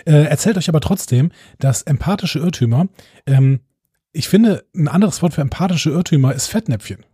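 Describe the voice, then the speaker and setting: A slightly hoarse man co-hosting a podcast, teasing his partner dryly in casual chat. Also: fairly high pitch, lively intonation, normal speed, dark tone, dry recording, studio quality. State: a little hoarse. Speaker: podcast co-host. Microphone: close microphone with low-cut filter.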